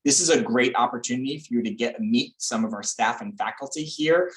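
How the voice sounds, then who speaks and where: Male admissions host, mid-range voice, smooth and welcoming, speaking on a video call. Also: medium pitch, brisk, a bit bright. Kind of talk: event introduction on a video call.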